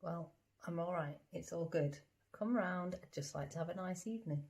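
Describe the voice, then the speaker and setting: A woman's voice: fairly low pitch, moderate pace, a little mellow. A woman playing a dinner guest in a comedy sketch, answering in a patient, matter-of-fact way. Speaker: puppeteer acting a dinner guest.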